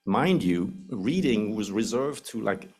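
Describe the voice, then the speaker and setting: A man's voice: fairly low pitch, animated, a little slow, a little mellow, breathy edge, soft background music. A middle-aged man with a Swiss accent, English not his first language, giving a public lecture in a joking, explanatory way. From Switzerland.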